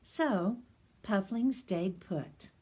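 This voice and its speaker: A woman who is a reading teacher, reading a picture book aloud to children in a gentle, soft manner that slips into whispers. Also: medium pitch, expressive, extremely slow, slightly rough.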